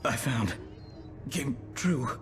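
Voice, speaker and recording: A man's voice: medium pitch, animated, very slow, music in the background. An actor playing an injured, terrified male park ranger, his voice strained and pained, in a video game. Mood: weak, frightened.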